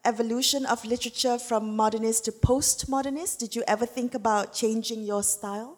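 A woman moderates a live Q&A in a fairly high voice, brisk and clear as she rephrases each question. A long reverb fills the hall around her. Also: fairly even intonation, darkish tone. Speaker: panel moderator. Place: festival hall stage.

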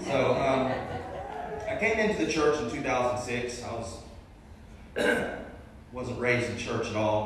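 A young man giving a casual, rambling testimony as a guest preacher, restarting his sentences and joking at his own expense. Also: medium pitch. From Arkansas. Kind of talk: testimony sermon to a congregation. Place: large church sanctuary. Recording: very echoey hall, distant mic, faint room noise.

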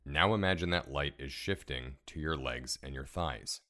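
A man leading a guided relaxation meditation in a calm, soothing, measured way, giving gentle step-by-step instructions. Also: a bass voice. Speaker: meditation guide, podcast host.

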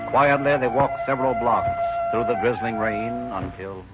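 A man's voice: mid-range voice, moderate pace. A man narrating a 1930s radio drama from a script. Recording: dull old radio recording. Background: loud music.

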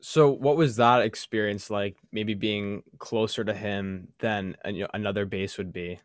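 A man's voice: on the low side, steady tone, moderate pace, no echo, clean studio sound. A man hosting a podcast interview, asking earnest questions and correcting himself, saying "like" and "you know". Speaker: podcast host.

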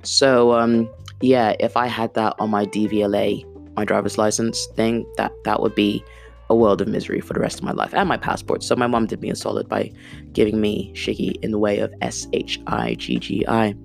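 A woman's voice: extremely low pitch, moderate pace, creaky, darkish tone, soft background music. A woman in her thirties, born in Kenya with Swahili as her first language, hosting an unplanned podcast and rambling with lots of fillers. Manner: rambling, chatty, self-deprecating with many fillers. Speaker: podcast host. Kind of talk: unplanned casual monologue.

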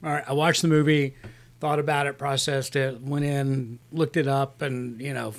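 A man's voice: mid-range voice, level tone, normal speed. A man co-hosting a casual movie review podcast, easygoing and agreeable, often trailing off mid-sentence. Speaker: podcast co-host; works in the film industry.

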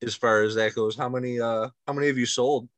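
A man from hosts a relaxed chat, asking questions and cheering his guest on. His voice is middle-pitched. The sound is dry and close. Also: quick pace, dry recording. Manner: friendly, encouraging, asks questions. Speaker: interview host. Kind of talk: casual interview chat.

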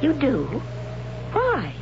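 Blunt, pious warrior woman, fairly high and very lively in pitch; hissy dull vintage radio. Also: extremely slow, exaggerated voice. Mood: earnest. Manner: blunt and dismissive, then curious. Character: young warrior woman captor, matter-of-fact, pious. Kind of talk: 1970s radio drama acting. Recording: dull old radio broadcast with hiss.

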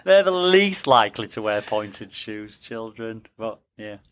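A man's voice: on the low side, animated, unhurried, fairly bright tone. A man co-hosting a podcast from a remote link, trading casual banter and dry jokes. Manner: dry, joking asides. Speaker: podcast co-host and teacher. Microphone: remote connection.

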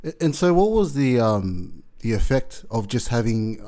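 A man hosting a casual interview, asking questions with many pauses and restarts and some friendly teasing. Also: mid-range voice, sing-song, mellow. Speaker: host.

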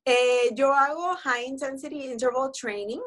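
Lady in her forties, kinda high voice, easygoing, lots of "entonces". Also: unhurried. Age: 40s. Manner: relaxed, explanatory, many fillers and "entonces". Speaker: guest. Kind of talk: casual conversation about fitness.

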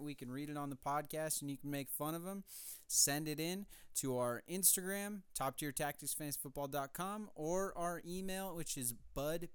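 A man hosting a podcast, giving a brisk sign-off that sounds scripted. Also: on the high side, smooth, no echo. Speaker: podcast host. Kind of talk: show sign-off.

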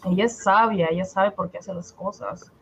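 A woman's voice: mid-range voice, steady tone, moderate pace, fairly bright tone, husky. A woman co-hosting in a casual chat, recorded in dull, lo-fi sound. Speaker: co-host. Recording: dull lo-fi sound, background noise.

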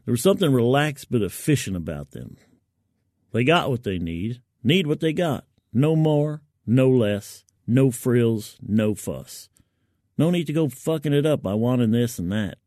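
Male fiction reader, medium pitch, colloquial and wry first-person storytelling, very dry recording. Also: darkish tone, dry recording. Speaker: fiction narrator.

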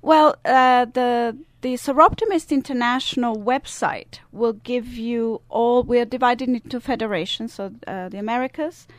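A woman's voice: a little high, normal speed, few pauses. An older woman from the Italian-speaking part of Switzerland, with a Swiss Italian accent, answering questions on a radio talk show with frequent hesitations and fillers. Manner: hesitant, many fillers and restarts. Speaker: guest, service club member. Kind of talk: radio interview.

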